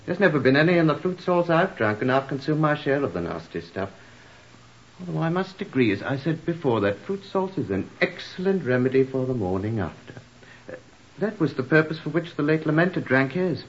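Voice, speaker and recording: Wordy tippling brit, droll and morbid, very animated pitch, muffled old radio play. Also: male voice, fairly quick. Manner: droll, wordy, mock-literary. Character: flippant, garrulous, macabre-humoured man fond of drink. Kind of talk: old-time radio drama acting.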